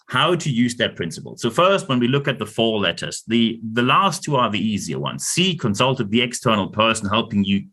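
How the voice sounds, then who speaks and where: A man with a German accent giving a lecture-style talk on leadership, emphatic and animated, with jokey asides and repeated words. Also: lowish voice. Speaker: leadership consultant host.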